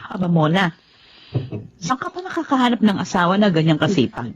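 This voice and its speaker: A woman's voice: lowish voice, sing-song, a little fast, dull audio. An older woman with a Filipino accent playing an inquisitive, approving mother in a TV drama. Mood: concerned, approving.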